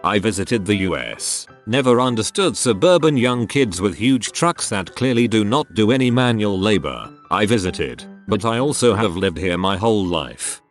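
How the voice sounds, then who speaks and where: A man reading out forum posts as a narrator, in a steady, matter-of-fact way. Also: fairly low pitch, normal speed, few pauses, soft background music.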